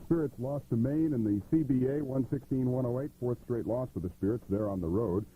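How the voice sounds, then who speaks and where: A man's voice: mid-range voice, brisk. American radio sports guy, muddy narrow old tape with some hiss and noise. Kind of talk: scripted sports report. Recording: muffled, narrowband, noisy 1980s radio aircheck.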